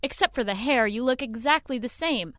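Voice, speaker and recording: An actress playing an earnest young woman in an audio drama, urgent and expressive as she searches on behalf of her grandfather. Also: a little high, a little fast. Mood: pleading, urgent. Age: young adult. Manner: talks to herself, gasping, urgent pleading.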